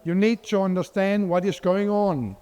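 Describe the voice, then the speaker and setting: Clear-voiced Danish man giving a talk. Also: high-pitched, moderate pace, dark tone. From Denmark. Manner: hesitant, many fillers and restarts. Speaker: guest speaker, water expert. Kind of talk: conference presentation.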